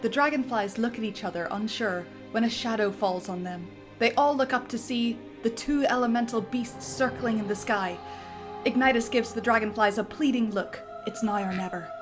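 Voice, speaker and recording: A woman's voice: fairly high pitch, moderate pace, over music. A woman narrating a film script theatrically, with a comedic dramatic flair. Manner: theatrical, breaks easily into giggles.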